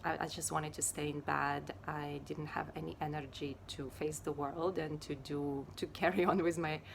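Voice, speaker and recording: Low flat-voiced woman from ukraine, slow and hesitant, chatting about yoga stuff. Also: creaky, noisy background. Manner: unhurried and hesitant, many fillers. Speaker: yoga teacher and Ayurveda therapist. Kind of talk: casual personal talk to camera.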